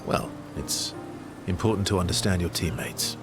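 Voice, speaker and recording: A man acting as a mysterious, wry veteran traveler in a video game, speaking in a measured, understated way. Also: sits low, close to an everyday voice. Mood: dry, teasing. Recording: music under dialogue. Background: game music.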